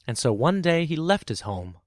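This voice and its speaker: A man narrating a children's tale as a podcast storyteller, with expressive, measured and dramatic phrasing. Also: a little high, no echo, studio quality.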